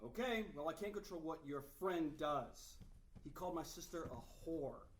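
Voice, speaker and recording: A man's voice: a little high, animated, normal speed, lightly acted. A man playing a self-important, easily hurt boyfriend in a dramatic comedy table read. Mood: smug, defensive. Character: vain, wounded boyfriend, self-pitying and theatrical. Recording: echoey room, distant mic.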